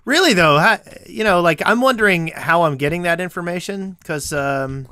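Man, high voice, animated, casual game chat, playful roleplay, mock-serious, dry sound. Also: normal speed, clear, resonant tone, no room sound. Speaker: gamer playing a co-op roleplay map.

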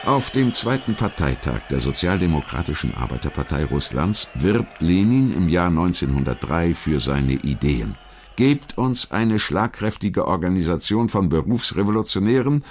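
A man reading a scripted historical documentary narration in a measured, articulate way. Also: low-pitched.

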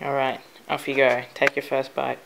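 A woman, bantering casually from behind the camera in a teasing, deadpan way. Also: rumbling low, moderate pace, vocal fry. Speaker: camera operator and interviewer. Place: home kitchen, indoors. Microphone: behind a handheld camera. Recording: noisy kitchen with clattering.

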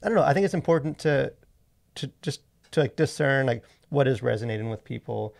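A man in his thirties co-hosting a casual podcast, talking in a halting, wordy way as he thinks aloud, close on a studio mic. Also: mid-range voice, expressive, creaky. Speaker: podcast co-host. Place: small indoor podcast studio. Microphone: close boom-arm studio microphone.

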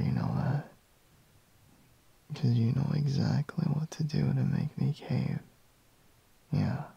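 A man playing a sleepy, reluctant, teasing boyfriend in an intimate ASMR roleplay, murmuring drowsily in a breathy half-whisper. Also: medium pitch, warm tone, full character voice. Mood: teasing, giving in. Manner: slow, drowsy murmur with sighs and pauses.

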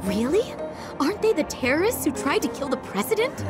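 A woman's voice: sits high, big pitch swings, fairly quick. A woman playing a prim, indignant office worker in a video game. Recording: game music behind the voice.

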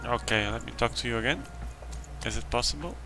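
A man's voice: mid-range voice, a little fast, music in the background. A man giving casual let's play commentary, talking to himself in short phrases as he plays. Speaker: game streamer. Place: behind: game music.

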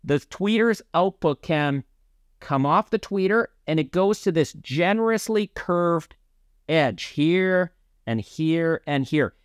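A man in his fifties presenting an explainer to camera, speaking in deliberate, emphatic phrases. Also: a little high, no room sound, clean studio sound. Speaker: presenter of an audio explainer series. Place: small indoor studio.

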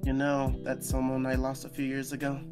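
A man voicing a gentle, understanding raccoon in a visual novel, consoling and plain-spoken, with an old grief. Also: mid-range voice, monotone, brisk, music in the background, natural voice.

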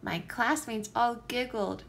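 Female storyteller for young kids: high, slow and very expressive picture-book read-aloud. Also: creaky, slight background noise. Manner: animated, deliberate phrasing for young children.

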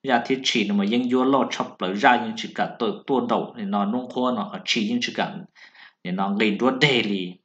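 A man's voice: fairly low pitch, normal speed, a bit bright. A man giving a rambling, casual talk about zodiac matches as a voice-over. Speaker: zodiac fortune talker. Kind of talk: casual spoken talk over a still slide. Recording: dull lo-fi sound.

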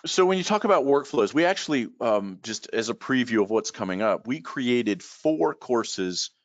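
A middle-aged man, a trainer telling stories in a relaxed, rambling way, repeating words and using many fillers, heard over a video call from a room at home. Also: medium pitch, moderate pace, no room sound. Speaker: guest expert and trainer. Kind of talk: casual storytelling in a video call. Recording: dull lo-fi call audio.

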